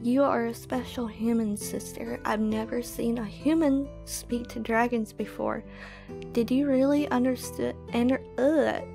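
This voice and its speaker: A woman voicing a solemn, curious wolf creature with a measured, formal way of talking. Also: a little high, fairly even intonation, gravelly, music in the background.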